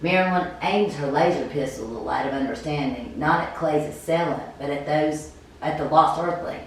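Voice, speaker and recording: A woman's voice: sits low, normal speed. A woman doing a cold read of a story game aloud, stumbling over words and adding casual comments. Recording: very echoey room, distant mic, faint noise.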